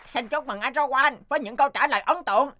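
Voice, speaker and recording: A woman's voice: high voice, fast talker, pushed and bright, slight background noise. A woman voicing an upbeat male game show host in a comedy cartoon, formal and showy. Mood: announcing. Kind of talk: animated comedy cartoon dub.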